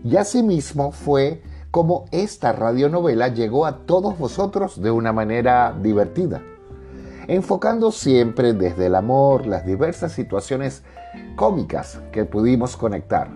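A man's voice: medium pitch, very animated, measured pace, dark tone, faint music behind. A man speaking as a radio drama director, giving an earnest, formal, declamatory speech of thanks.

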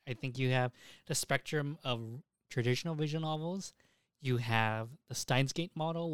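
A man's voice: medium pitch, fairly bright tone, smooth, no echo, studio quality. A man hosting a casual podcast, talking enthusiastically and swearing freely as he goes. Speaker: podcast host.

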